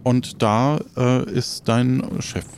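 A man of average pitch acts as game master in an audio adventure. He improvises the narration conversationally and somewhat hesitantly, with faint ambience behind his voice. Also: flat delivery, slow, gravelly, darkish tone, slight background noise.

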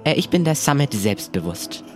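A man's voice: medium pitch, sing-song, normal speed, music in the background, lightly acted. A man playing a polite, eager, slightly overwhelmed pirate crewman in an improvised audio play, speaking hesitantly. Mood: obliging.

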